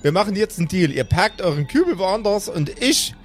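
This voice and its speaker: An impatient, sarcastic woman, played by a man in a high-pitched voice. The character bargains with exasperation over faint music in an improvised audio comedy. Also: very animated, fairly quick. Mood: impatient, bargaining. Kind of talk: improvised audio drama acting.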